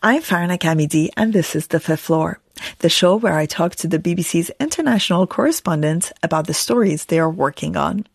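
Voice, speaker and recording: A woman hosting a radio interview show, talking lively with her guests and laughing easily. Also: lowish voice, fairly quick, gravelly, slightly rough. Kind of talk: presenting and interviewing. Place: office at a broadcaster.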